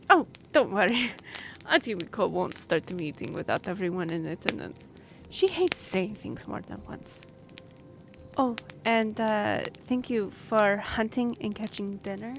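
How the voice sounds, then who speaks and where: A woman's voice: mid-range voice, lightly acted. A woman playing a friendly, earnest young half-elf royal messenger in a fantasy audio roleplay, speaking a little hesitantly. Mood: warm, grateful. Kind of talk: fantasy audio roleplay, one-sided conversation. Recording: noisy track with background ambience.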